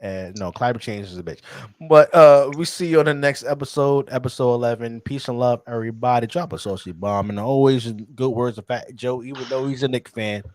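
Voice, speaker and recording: A man co-hosting a casual sports podcast, talking in an animated, teasing way and chuckling often. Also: mid-range voice, brisk, no echo. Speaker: podcast co-host.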